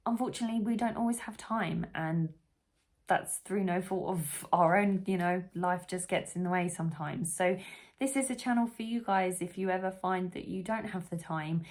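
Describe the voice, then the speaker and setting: A woman talking informally and conversationally as the host of a children's read-aloud channel. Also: on the low side. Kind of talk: casual chat to camera for parents.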